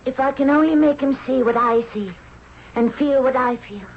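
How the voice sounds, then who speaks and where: A woman's voice: high voice, moderate pace, natural voice. An actress playing a spirited, girlish and playful young Egyptian princess in a radio drama. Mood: eager, searching. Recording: dull, noisy old radio broadcast.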